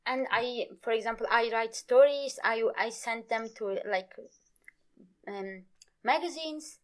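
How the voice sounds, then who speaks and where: Halting woman, slightly high voice. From Turkey. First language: Kurmanji Kurdish. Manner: halting, searching for words, many "I mean"s. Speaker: guest, Kurdish language teacher. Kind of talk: casual interview conversation.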